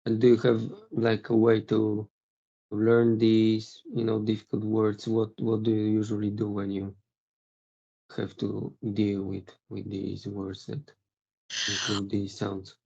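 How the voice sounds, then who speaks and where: Host: man, gravelly low voice, dark tone, muffled lo-fi recording. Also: completely flat delivery, measured pace. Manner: asks questions with restarts. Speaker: language teacher and podcast host. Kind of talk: casual interview questions. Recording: dull lo-fi recording.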